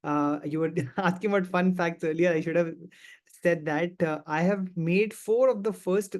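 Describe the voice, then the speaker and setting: A man's voice: high voice, steady tone, moderate pace. A man, speaking as a panel guest and telling his own story candidly, earnest and reflective, often restarting and repeating phrases. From India.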